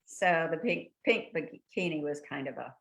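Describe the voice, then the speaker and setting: An older woman, a guest actress, chatting in an interview over a video call, telling lively stories and laughing often. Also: low-pitched, normal speed, gravelly. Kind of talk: casual interview chat.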